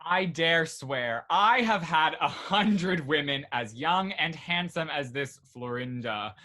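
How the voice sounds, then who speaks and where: An actor playing a worldly, wry young gentleman in a Restoration comedy, boasting drily in period phrases. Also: on the high side, animated, slow pace, heavily acted. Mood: cynical boast. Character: worldly, cynical, wry young English gentleman, womanizing friend. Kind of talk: Restoration comedy stage acting.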